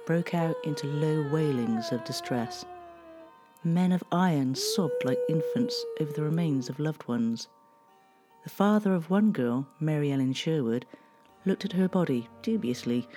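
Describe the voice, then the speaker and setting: A narrator giving scripted historical narration in a measured, solemn reading. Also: medium pitch, dark tone. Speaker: documentary narrator.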